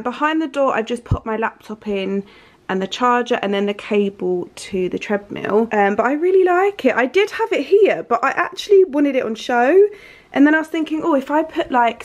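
Chatty young woman with a stuffy nose. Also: a little high. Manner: chatty and enthusiastic, many fillers like um and like. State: stuffy nose from hay fever. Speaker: lifestyle vlogger. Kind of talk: casual vlog chat to camera. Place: living room at home, indoors.

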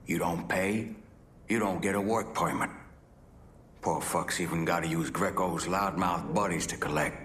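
An actor playing a gruff, bitter working-class dock union man in a video game, blunt and profane. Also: low voice, normal speed, a bit bright, a little hiss or hum. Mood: grumbling, bitter.

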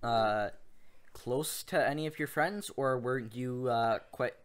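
A man's voice: mid-range voice, slow. A man hosting a podcast interview with his grandmother, asking questions in a hesitant way with many fillers. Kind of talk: casual interview.

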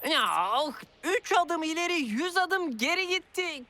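High-voiced, fretful cartoon cat. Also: female voice, lively intonation, a little slow, over loud music. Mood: comic fretting. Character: playful, fretful talking pet cat sidekick. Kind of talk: Turkish cartoon dub.

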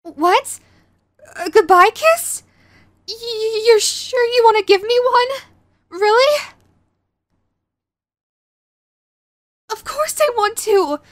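A woman's voice: extremely high pitch, animated, slow pace, no room sound, clean studio sound, pitched above the performer's own voice, exaggerated voice. A woman playing a sweet, clingy, anxious young yandere girlfriend in a romantic audio roleplay, with a stammering, gushing manner. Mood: flustered, thrilled. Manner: stammering, breathy gushing, apologetic.

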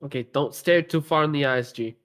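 A man with a moderately high-pitched voice plays a police officer. He talks casually, improvising as part of a game roleplay. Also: brisk, a bit bright.

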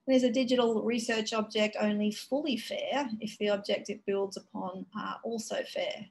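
A woman giving a conference keynote over a video call, explaining in a measured way with frequent fillers. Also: mid-range voice, fairly even intonation, gravelly, a little mellow, slight background noise, poor audio. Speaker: keynote speaker. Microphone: video call webcam.

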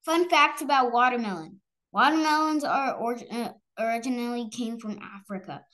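A woman reading a children's story aloud, haltingly and stumbling over harder words. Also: a little high, fairly bright tone.

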